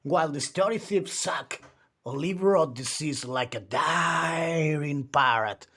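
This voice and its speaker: A man reading a children's picture book aloud, with theatrical delivery and drawn-out emphasis on key words. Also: on the high side, fairly bright tone. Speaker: children's storytime reader.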